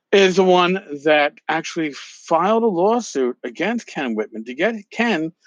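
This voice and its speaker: Animated, high-pitched man, theatrical podcast host. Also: no room sound, muffled recording. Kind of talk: casual talk with dramatic reading of emails aloud.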